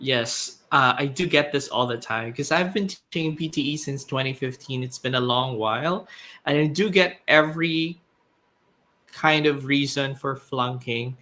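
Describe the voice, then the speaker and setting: A man, a tutor, lays out his advice to a viewer on a live stream. His voice is bright and a little tense, at an ordinary male pitch. He explains step by step in a calm, measured way. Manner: measured explanatory, occasional restarts. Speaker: exam tutor. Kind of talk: live stream guest answering a question.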